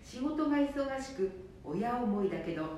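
A woman's voice: animated, moderate pace, dark tone. A woman reading scripted storytelling narration for a radio drama. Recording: echoey room, faint rumble.

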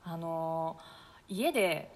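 A woman's voice: low voice, lively intonation, drawn-out words. Chatty woman co-host in reverberant sound. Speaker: radio show co-host, actress. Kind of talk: chatty radio show hosting.

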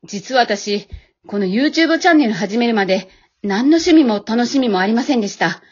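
Woman acting a modest housewife, slightly husky. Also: fairly high pitch, animated, moderate pace, darkish tone, dull audio. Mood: weary, confiding. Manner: humble, polite, hesitant. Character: modest, earnest housewife YouTuber losing heart, speaking politely. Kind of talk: amateur radio drama acting.

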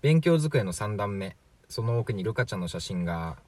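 A man's voice: fairly low pitch, sing-song, moderate pace, darkish tone, no echo. A man playing a chatty, flippant 43-year-old from the future in a radio drama, glib and matter-of-fact. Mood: calm, matter-of-fact. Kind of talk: amateur radio drama acting.

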